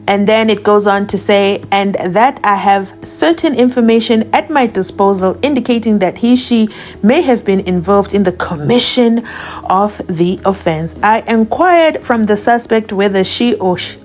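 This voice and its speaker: South african woman reading out a document slow, mid pitch, chatty asides in between. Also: darkish tone. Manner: reads slowly and deliberately, adding chatty asides. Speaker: commentary channel host. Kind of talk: reading a document aloud with commentary.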